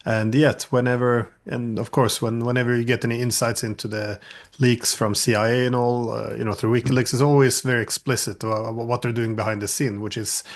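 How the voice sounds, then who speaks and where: A man's voice: mid-range voice, little pitch movement, a little fast. A man hosting an interview, asking long questions with many fillers and restarts. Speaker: host. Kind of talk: interview conversation.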